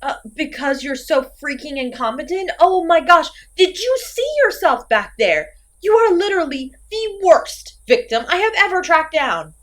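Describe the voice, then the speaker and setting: A woman's voice: sits high, big pitch swings, moderate pace, hardly any pauses, a bit bright. A woman playing a theatrical, sarcastic masked slasher killer who scolds her victim in a horror-comedy audio roleplay. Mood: exasperated ranting.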